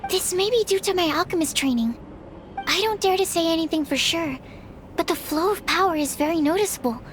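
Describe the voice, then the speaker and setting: A woman's voice: extremely high pitch, animated, fairly quick, a little hiss or hum. A woman voicing a polite, earnest, shy young female alchemist in a video game, precise and formal. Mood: fascinated, earnest.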